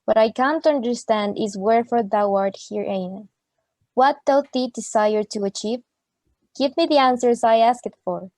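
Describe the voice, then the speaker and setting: A woman's voice: fairly high pitch, normal speed, a little mellow, no echo, natural voice. Woman acting a solemn, formal young woman, dry recording. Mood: wounded, demanding. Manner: formal, archaic, declamatory. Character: Samantha, solemn wounded young woman speaking archaic English. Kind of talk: amateur radio play acting.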